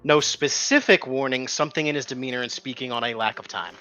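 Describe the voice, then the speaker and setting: A man's voice: mid-range voice, quick pace, pushed and bright, soft background music. A man chatting casually as a player in an online tabletop game, with a dry, joking manner. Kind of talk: casual group chat during an online game.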